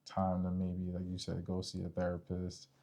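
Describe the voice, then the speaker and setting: Low, gravelly, mellow man talking casually. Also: completely flat delivery, moderate pace. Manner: conversational, explains step by step, seeks agreement. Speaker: podcast co-host. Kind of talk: casual relationship discussion.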